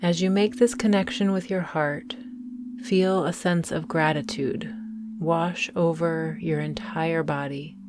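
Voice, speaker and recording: Slow, calm woman guiding meditation. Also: deep voice, noisy background. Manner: calm, soothing, measured with long pauses. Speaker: meditation guide. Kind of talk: guided mindfulness meditation narration.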